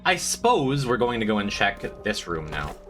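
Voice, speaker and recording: A congested man rambles through his game with a low but bright, forceful voice. His pitch jumps all over as he mocks himself for chat. Faint game music plays behind him. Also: very animated, moderate pace. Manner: rambling, self-mocking, breaks into high squeaky jokes. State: congested, stuffed-up voice. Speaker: streamer. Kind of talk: casual gameplay commentary to chat.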